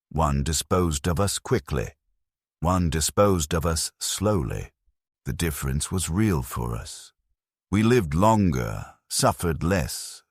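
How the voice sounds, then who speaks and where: A man narrating a scripted, atmospheric story in a somber, grave, gravelly voice. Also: deep voice, no room sound, studio quality.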